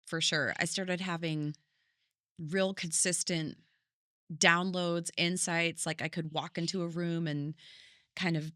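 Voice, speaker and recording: A woman's voice: fairly low pitch, fairly even intonation, slow pace, pushed and bright, no echo, studio quality. A middle-aged woman, a singer and vocal coach, thoughtfully telling her story as a podcast guest in long, winding sentences. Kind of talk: reflective conversational storytelling.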